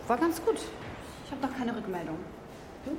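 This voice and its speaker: Lively, teasing young woman student. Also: fairly high pitch, moderate pace, noisy background. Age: early 20s. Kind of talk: naturalistic radio drama dialogue.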